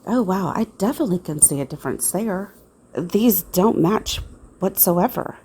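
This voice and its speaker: A woman's voice: on the low side, expressive, mellow, breathy edge. A woman acting as an earnest investigator in an old time radio style mystery, speaking emphatically about evidence. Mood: emphatic, convinced. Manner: slow, emphatic. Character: earnest female investigator questioning suspect evidence.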